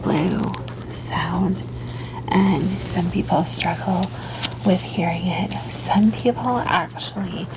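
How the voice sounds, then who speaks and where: A woman in a whispered ASMR roleplay as a gentle, reassuring doctor, with a soft, breathy delivery. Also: on the low side, noisy background. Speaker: ASMR performer playing a doctor.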